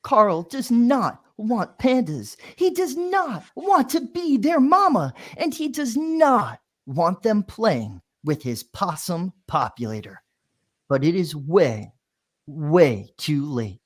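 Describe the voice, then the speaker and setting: A man's voice: high-pitched, very animated, takes it slowly. A man, a children's author, reading his picture book aloud playfully, with lots of sound effects.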